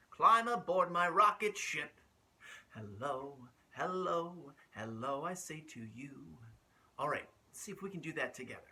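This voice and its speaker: A man with a fairly high voice reads a picture book to small children. He takes his time, stretching words out and dropping to whispers. His pitch swoops widely as he puts questions to his young listeners. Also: pushed and bright, slight background noise. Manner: drawn-out, asks the listeners questions, drops to whispers. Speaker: children's storytime host.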